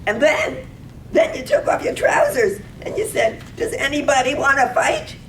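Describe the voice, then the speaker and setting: Recorded in an echoey space away from the microphone, a woman acts a village wife in a comic radio play. She scolds and teases theatrically, with big swoops of pitch and plenty of exclamation. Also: huge pitch swings, moderate pace, a bit bright, heavily acted. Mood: teasing. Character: teasing, scolding wife in a Welsh village comedy. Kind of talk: stage reading of a radio play. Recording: echoey, distant microphone, faint rumbling noise.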